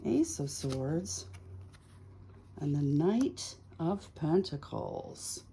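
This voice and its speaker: A woman's voice: sits low, sing-song, extremely slow, mellow, slight background noise. A woman hosting a tarot reading, chatting casually and thinking aloud in short, musing phrases. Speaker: tarot reader hosting a video series.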